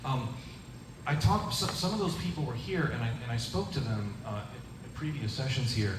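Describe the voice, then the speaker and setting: Presenter answering questions, stop-start delivery, recorded far off in a roomy hall with noise. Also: male voice, medium pitch. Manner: halting, many restarts, animated bursts. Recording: echoey room, distant mic, noise.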